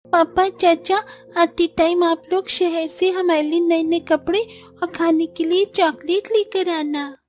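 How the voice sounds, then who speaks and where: A woman's voice: extremely high pitch, steady tone, unhurried, darkish tone, voice pushed up. A woman voicing an eager little village girl in a cartoon story, childlike and pleading. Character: eager little village girl asking her father for treats.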